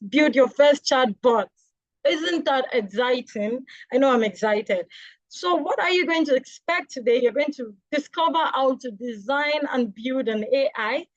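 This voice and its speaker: A woman with a high, bright-sounding pitch hosts an online class for children, eager and talking directly to her young audience. Her voice comes through a computer microphone on a video call, dull and dry with no room around it. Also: no room sound. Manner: enthusiastic, addresses children directly. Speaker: webinar host. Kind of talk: upbeat introduction to a kids' class.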